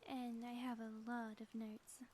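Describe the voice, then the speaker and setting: Woman acting a breathy, playful ex-villain wife, softly mellow. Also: fairly high pitch, little pitch movement, measured pace, lightly acted. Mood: fond, teasing. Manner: teasing, breathy, often dropping toward a whisper. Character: playful, flirtatious ex-villain wife, theatrical yet sappy and affectionate. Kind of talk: intimate ASMR audio roleplay. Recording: faint background noise.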